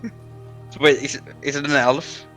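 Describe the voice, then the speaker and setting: A man playing a tabletop game, flustered, loud and full of fillers. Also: mid-range voice, fairly even intonation, takes it slowly, soft background music, low-quality recording. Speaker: player. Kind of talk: tabletop roleplay over voice chat.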